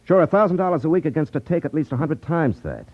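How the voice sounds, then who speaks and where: An actor playing a cool, sardonic private detective with a wry manner in a 1950s radio drama. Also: male voice, a little high, sing-song, quick pace. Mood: scornful. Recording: dull 1950s radio recording.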